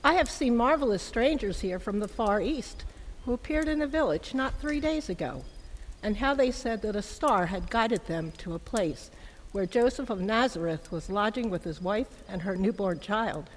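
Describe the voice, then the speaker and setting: Lady acting a timid gentle young mom from an old village, earnest, mid-pitched, echoey hall sound. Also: lively intonation, moderate pace, close to an everyday voice. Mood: hushed, uneasy. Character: gentle, timid young village mother, wary yet hospitable. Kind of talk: amateur dramatic reading of a Christmas story. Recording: long hall reverb, faint background noise.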